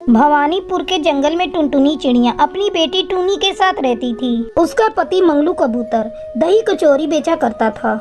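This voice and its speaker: High-pitched woman narrating a children's story. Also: lively intonation, moderate pace, darkish tone. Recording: music behind the voice.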